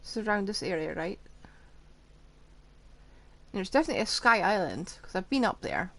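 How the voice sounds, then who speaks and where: A woman's voice: mid-range voice, very animated, moderate pace, a bit bright. A woman game streamer giving casual live commentary, chatting to herself as she plays. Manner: chatty, talks to herself while playing.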